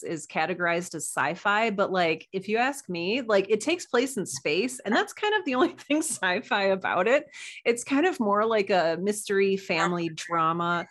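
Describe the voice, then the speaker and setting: A woman speaks as a podcast guest in relaxed, informal conversation. Her pitch is average for a woman. She comes across as effusive and chatty. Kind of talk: casual chat.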